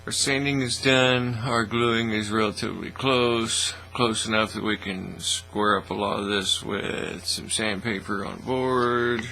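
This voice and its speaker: A man hosting a casual hands-on hobby demonstration in a folksy, informal, unhurried way, recorded in a small indoor workshop studio. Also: fairly low pitch, gravelly. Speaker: host of a hobby workbench channel. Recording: steady mains hum, faint noise.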